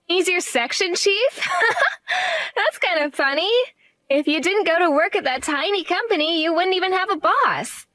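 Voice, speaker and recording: A woman's voice: very high-pitched, big pitch swings, unhurried, pushed and bright, dry recording, full character voice. A woman voicing a bubbly, condescending bride-to-be. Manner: bubbly, patronizing. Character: cheerful, condescending young bride-to-be sister. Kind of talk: voiced story drama.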